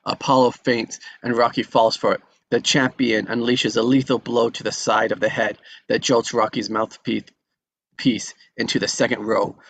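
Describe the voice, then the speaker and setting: A man's voice: medium pitch, little pitch movement, normal speed, gravelly, a bit bright. A man narrating the action of a film script at a table read.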